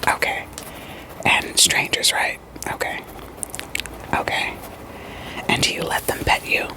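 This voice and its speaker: A woman's voice: lightly acted, rough recording. Whispering female therapist played by a woman. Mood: gentle, probing. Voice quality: soft, breathy, mostly unvoiced whisper. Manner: gentle, repetitive reassurance, slow deliberate questioning. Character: calm, reassuring female therapist screening patients for werewolves. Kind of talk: ASMR roleplay.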